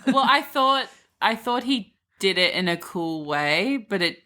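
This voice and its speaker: A woman's voice: medium pitch, expressive, measured pace, bright, forceful tone. A woman co-hosting a podcast, talking through her opinions in a casual, conversational book discussion.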